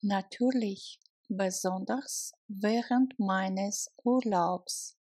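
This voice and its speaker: A woman's voice: medium pitch, drawn-out words, a little mellow, no echo. A woman language teacher drilling short phrases, saying each one, breaking it into chunks, then repeating it. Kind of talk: slow phrase drill with translations.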